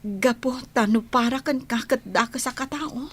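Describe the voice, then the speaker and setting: An actress playing a defiant, bitter woman in a radio drama, with a husky voice and a Filipino accent. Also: on the high side, moderate pace. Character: defiant woman confessing to an affair, bitter and unapologetic.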